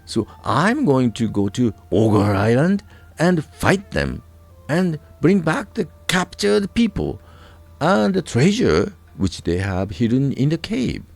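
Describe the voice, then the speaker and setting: A man voicing a brave, polite fifteen-year-old folk hero in a storybook, earnest and deliberate. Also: medium pitch, huge pitch swings, gravelly, soft background music, voice pushed up, full character voice. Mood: earnest, resolute. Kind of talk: storybook character voice.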